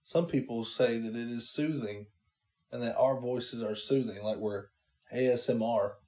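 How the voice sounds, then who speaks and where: Slow-talking nasal man. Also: mid-range voice, steady tone, dark tone. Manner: laid-back, self-mocking joking. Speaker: podcast co-host. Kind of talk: casual chat.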